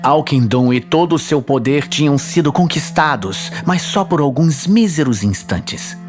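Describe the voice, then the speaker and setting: A man's voice: fairly high pitch, lively intonation, measured pace. A man with a Brazilian accent reading scripted fantasy narration in a dramatic storytelling style. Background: faint music.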